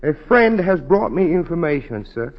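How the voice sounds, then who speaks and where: A measured, deferential clerk, higher voice. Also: male voice, big pitch swings. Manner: formal, measured, obsequiously polite. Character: discreet, deferential male confidential clerk, quietly cunning. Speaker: actor in a radio drama. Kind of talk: 1940s radio acting. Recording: muffled 1940s radio recording.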